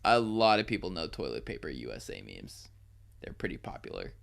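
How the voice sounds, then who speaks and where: A man's voice: extremely low pitch, creaky. A man in his twenties co-hosting a podcast, chatting casually and swearing freely. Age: 20s. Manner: animated, swearing, quick laughs. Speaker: podcast co-host. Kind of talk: casual chat.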